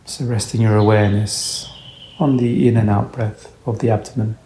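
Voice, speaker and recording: A man with a low, mellow voice guides a meditation in gentle, soothing phrases. His delivery is very slow and unhurried. The recording has a noticeable roomy echo around the voice. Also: steady tone. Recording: roomy echo, faint background noise.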